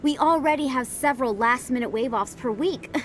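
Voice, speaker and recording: High-voiced, driven woman reporter. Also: brisk, fairly bright tone. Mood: insistent, reassuring. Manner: articulate, persuasive, assured. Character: persuasive, driven female investigative reporter of space opera. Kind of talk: video game dialogue. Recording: faint rumbling background noise.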